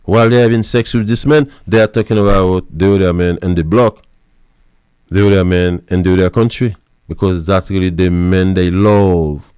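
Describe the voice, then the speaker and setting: A man's voice: deep voice, darkish tone, clean tone, dry recording. A man lecturing women on marriage as a pastor and counselor, repeating his points with emphasis. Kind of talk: preachy advice monologue.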